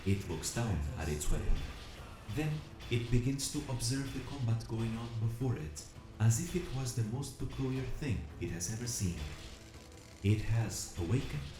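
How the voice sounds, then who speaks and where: A man playing an earnest, solemn combat robot who longs for freedom, narrating an audio drama in measured, formal, reflective phrasing. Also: mid-range voice, animated, lightly acted. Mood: wondering. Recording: echoing voice over music. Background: music.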